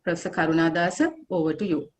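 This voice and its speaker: A woman moderator reads a formal introduction over a video call. Her voice is slightly low, and her delivery is measured and even. She sounds careful and official throughout. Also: a little hiss or hum. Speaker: symposium moderator introducing the speaker.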